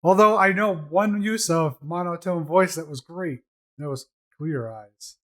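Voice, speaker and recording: Male co-host, slightly high voice, casual explainer who talks things through. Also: unhurried. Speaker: podcast co-host. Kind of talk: casual chat.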